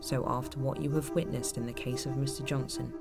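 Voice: mid-range voice, fairly even intonation, fairly quick, vocal fry, close to an everyday voice. An actor narrating a dystopian audio drama in a solemn, grave manner, as scripted storytelling. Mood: solemn, questioning. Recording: loud music under the voice. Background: music.

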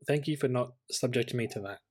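A man's voice: medium pitch, fast, darkish tone. A man co-hosting a film podcast in an easygoing, conversational way. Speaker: podcast co-host. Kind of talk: casual film podcast chat.

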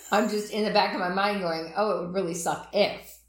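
A young woman co-hosting a podcast in casual chat, animated, laughing often and swearing freely. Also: medium pitch, moderate pace, reverberant. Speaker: podcast co-host.

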